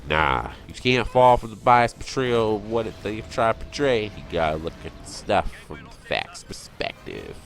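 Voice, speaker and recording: Low-voiced man in an insistent rant. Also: sing-song, moderate pace, gravelly, few pauses. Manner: insistent, repetitive, exasperated. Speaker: online video commentator. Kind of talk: opinionated fan rant.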